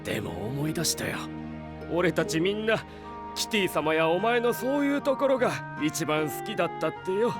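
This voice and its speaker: A male actor playing a good-natured, rough-spoken older market trader in an anime audio drama, blunt and familiar. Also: high-pitched, big pitch swings, moderate pace, music in the background. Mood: wry, fond.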